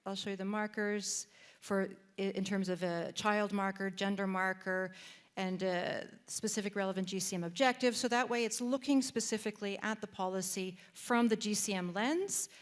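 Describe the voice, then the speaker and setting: A woman's voice: medium pitch, steady tone, moderate pace. A woman with a Canadian accent presenting at a conference, guiding the audience through a website with frequent fillers and restarts. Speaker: presenter demonstrating a database. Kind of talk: live panel presentation, guiding a screen walkthrough. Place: conference room.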